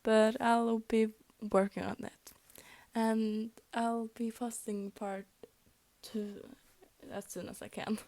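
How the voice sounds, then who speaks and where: A woman's voice: a little high, level tone, slow pace, a little mellow, no echo. A young woman whose first language is Norwegian, reading fan fiction aloud, often tripping over words and laughing at her slips. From Norway. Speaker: fanfic reader.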